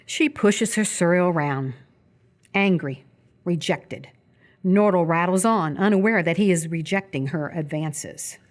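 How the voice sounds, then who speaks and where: Slightly low-voiced woman narrator. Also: unhurried. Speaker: narrator reading stage directions. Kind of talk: scripted dramatic narration, live radio theatre.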